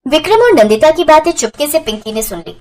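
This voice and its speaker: A woman's voice: sits high, sing-song, brisk, darkish tone. A woman narrating a scripted family moral story.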